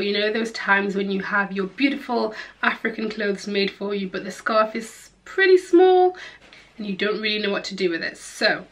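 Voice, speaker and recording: A woman vlogger with a croaky morning voice, talking through a head-wrap tutorial in a chatty, rambling, upbeat voiceover. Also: medium pitch, a bit bright, slight background noise. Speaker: fashion vlogger. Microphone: voiceover mic.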